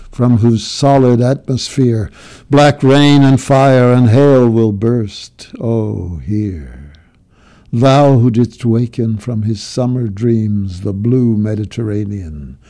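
A man giving a slow, grave, declamatory reading of a poem, swelling and then dropping to a hush. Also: mid-range voice. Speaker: poetry reader. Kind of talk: dramatic poetry recitation.

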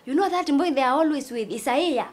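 Woman acting high-voiced gossipy Kenyan student. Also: animated, brisk. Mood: confiding. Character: gossipy young female student, coy and confiding.

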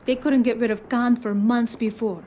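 A woman's voice: fairly high pitch, steady tone, moderate pace, warm tone, slight background noise, close to an everyday voice. An actress playing a guarded, sardonic young village woman in a video game, dry and dismissive. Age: young adult. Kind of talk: video game cutscene acting.

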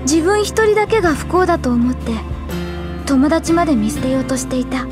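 Earnest anime girl, high lively voice, grateful, audio drama monologue over music. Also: brisk. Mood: earnest, emotional. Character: earnest young girl, once lonely, now grateful.

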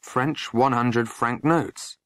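A narrator voicing a dutiful, deferential police constable in an audiobook, measured and matter-of-fact. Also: mid-range voice, fairly bright tone.

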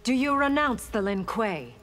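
An actress playing a poised, proud young warrior princess in a video game, with a challenging manner. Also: female voice, on the high side, sing-song, unhurried, fairly bright tone, faint music behind. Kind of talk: dramatic video game dialogue.

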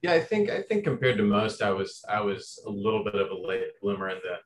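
Low-voiced young man from Indiana. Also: fairly quick, slight background noise. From Southern Indiana. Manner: hesitant, many restarts and fillers. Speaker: guest composer. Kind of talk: casual interview.

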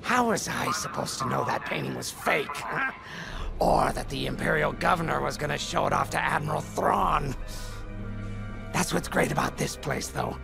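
A sly reptile alien pilot for a game, super gravelly, folksy hustler, music behind him. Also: male voice, a little high, moderate pace. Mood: sly, boastful. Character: roguish reptilian alien pilot, smooth-talking ex-thief. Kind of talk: video game character dialogue.

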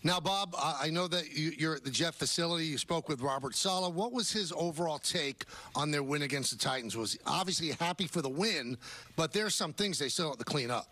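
A man's voice: a little high, fairly quick, sharp tone. A man hosting sports radio, asking a guest casual interview questions.

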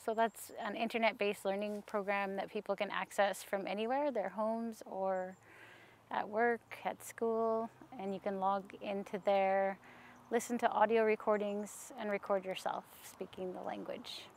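A woman in her thirties, speaking softly outdoors in a sunny park, answering interview questions hesitantly with many pauses while she is losing her voice. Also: mid-range voice, flat delivery, gravelly, a little hiss or hum. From Canada. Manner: soft-spoken, hesitant with frequent ums. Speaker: staff member interviewee.

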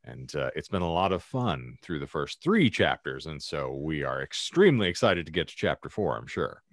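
A man's voice: very deep voice, big pitch swings, moderate pace, no echo. A man hosting a podcast with wry banter, speaking in a wordy, florid, mock-formal and joking way into a close microphone. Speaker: podcast host. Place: home room lined with shelves, video call. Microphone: close desk microphone.

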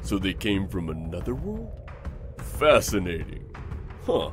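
A man as a deep, jovial fantasy king. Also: wildly swooping pitch, slow, soft background music, exaggerated voice. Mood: amused, intrigued. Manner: genial, intrigued, expansive. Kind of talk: fantasy audio drama.

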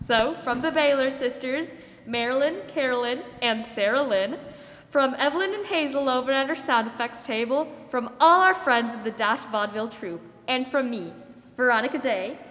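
A woman's voice: high voice, lively intonation, normal speed, a bit bright. A teenage girl playing a gracious woman host of a 1940s radio show. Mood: gracious, sincere. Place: theater stage with microphones on stands. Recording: echoey hall stage pickup.

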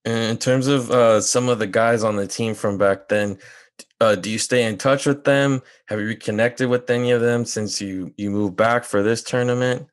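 A man's voice: mid-range voice, fairly even intonation, moderate pace. A man in his thirties hosting a casual podcast interview over a headset, friendly and joking with his guest. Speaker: podcast host. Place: home room.

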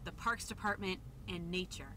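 An actress playing a friendly young woman passenger in a video game cutscene, speaking slowly and gently. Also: fairly low pitch, low-quality recording. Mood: grateful.

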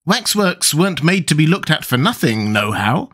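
A man voicing a fat, contrary little man with a high, emphatic, blustering voice in a storybook reading. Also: big pitch swings, moderate pace, fairly bright tone.

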